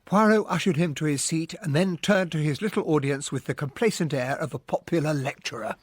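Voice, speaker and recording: An actor playing a gentlemanly English narrator, reading scripted radio drama narration in an English accent. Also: male voice, fairly high pitch, a little fast, husky, no echo. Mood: measured. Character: gentlemanly English male narrator recalling events.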